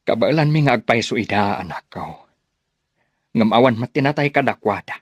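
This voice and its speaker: A man playing a worried but resolute fatherly villager in a radio drama, speaking gravely and earnestly. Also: mid-range voice, lively intonation, moderate pace, no echo, muffled recording.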